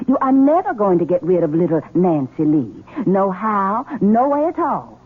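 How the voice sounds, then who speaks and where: An actress playing a scheming wife who is haughty and mocking, in old radio-drama style. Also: female voice, medium pitch, very animated, normal speed, breathy edge. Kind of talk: 1970s radio drama acting. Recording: tinny old radio broadcast.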